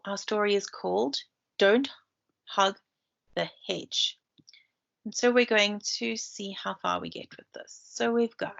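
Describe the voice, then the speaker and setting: A woman's voice: medium pitch, gravelly, sharp tone. A woman teacher doing a read-aloud story time for children, chatting and explaining the pictures as she goes, over a computer microphone. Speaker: primary school teacher. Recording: dull lo-fi screen-share recording.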